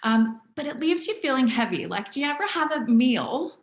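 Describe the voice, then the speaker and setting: A woman's voice: high-pitched, sing-song, moderate pace. A woman in her thirties from Canada, presenting on nutrition in a chatty, casual way over a video call from home, keeping her voice down, with lots of fillers. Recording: echoey room on a video call.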